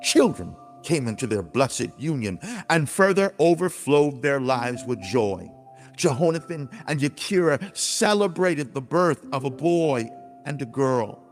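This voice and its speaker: Man narrating dramatically, lively pitch, music underneath. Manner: solemn, emphatic, deliberate storytelling. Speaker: audiobook narrator. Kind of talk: dramatized scripted narration, speaking as God.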